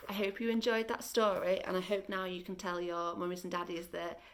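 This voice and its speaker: A woman reading a children's story aloud like a teacher, deliberate and expressive, with dramatic pauses. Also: fairly low pitch, background noise.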